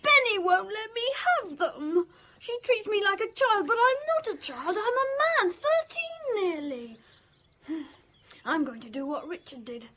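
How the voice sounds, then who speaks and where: High-pitched, excitable, childish lad. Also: female voice, measured pace, exaggerated voice. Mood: petulant, gleeful. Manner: petulant, excitable. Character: excitable, childish young man with a petulant, menacing streak. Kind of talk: radio drama acting.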